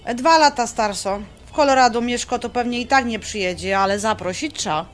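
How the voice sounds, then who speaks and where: Actress as high-voiced cheeky young bride-to-be. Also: female voice, a little fast, lightly acted. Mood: eager. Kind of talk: radio drama acting.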